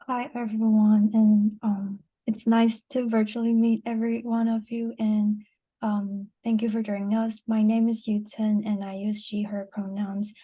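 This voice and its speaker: A woman from Taiwan introduces herself on a panel in a very dark, mellow voice. She speaks in a flat, even line. Her manner is friendly and steady. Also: mid-range voice, a little slow, rough recording. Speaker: graduate student panelist.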